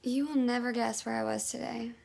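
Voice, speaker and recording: Earnest woman director, quietly defiant, creaky, slightly high, film drama. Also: steady tone, fairly quick. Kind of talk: film drama acting and narration. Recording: film audio with faint hum.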